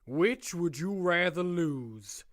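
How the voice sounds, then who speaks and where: An actor playing a smug, condescending prison warden who speaks slowly and deliberately in an audio drama. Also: male voice, a little high, animated, fairly bright tone. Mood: menacing. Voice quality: smooth, condescending, slow and deliberate. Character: smug menacing male prison warden, middle-aged.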